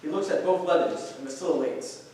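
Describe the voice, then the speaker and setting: Male narrator for a staged reading, somewhat high voice, distant from the mic. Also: moderate pace, darkish tone. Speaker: stage directions reader. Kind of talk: scripted narration. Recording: echoey noisy hall, distant microphone.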